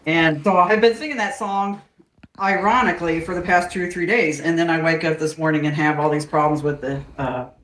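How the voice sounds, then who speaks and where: A woman's voice: low voice, fairly even intonation, a little fast, slight background noise. Older woman, homey host, earnest chatter that turns preachy, roomy kitchen sound. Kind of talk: casual chat while cooking. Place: home kitchen.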